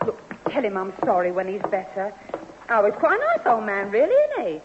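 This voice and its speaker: A woman's voice: mid-range voice, huge pitch swings, brisk. A streetwise London good-time girl, cheeky and hard-nosed. Her delivery swings up and down in an old radio sitcom. The sound is dull and echoing, with faint noise. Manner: cheeky, blunt. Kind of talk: British radio sitcom acting.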